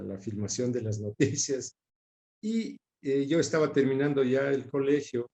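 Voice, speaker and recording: A man in his sixties with an Ecuadorian accent from Quito, speaking in a soft, mellow tone at an average pitch. He answers in a reflective, conversational interview style, measured, often pausing and repeating a word as he looks for the next one. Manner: measured, pausing and repeating words while searching for them. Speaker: interview guest recalling his father's career.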